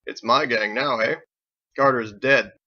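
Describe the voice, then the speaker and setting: A man voicing a big, dirty, menacing outlaw gang boss in an audiobook, with rough, slangy speech. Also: mid-range voice, unhurried, bright, forceful tone, muffled recording.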